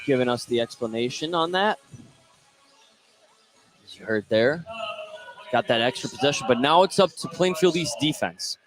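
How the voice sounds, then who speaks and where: A man does live basketball play-by-play for radio. His voice sits at a middle pitch as he follows the action and recaps it between plays. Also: expressive, normal speed, pauses often, rough recording. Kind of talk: live sports radio commentary.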